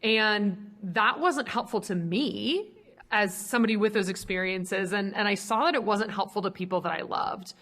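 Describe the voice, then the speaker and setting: A woman's voice: mid-range voice, moderate pace, fairly bright tone. A young American woman from Minnesota answering as an interview guest, talking reflectively in loose, stop-start conversational phrases. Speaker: podcast guest, ministry co-founder.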